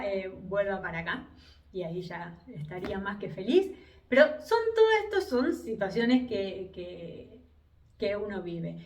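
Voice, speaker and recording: A woman's voice: mid-range voice, reverberant, recorded from a distance. A woman in her fifties with an Argentine Spanish accent, giving advice to camera in a reflective vlog, with frequent hesitations and restarts. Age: 50s. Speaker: vlogger. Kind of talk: casual advice monologue to camera.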